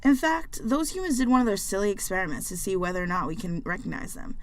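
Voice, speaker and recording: A woman voicing an aloof, sarcastic pet cat in an animated dialogue, with a dry, dismissive way of talking. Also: mid-range voice, fast talker, dry recording, natural voice. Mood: matter-of-fact, grudging.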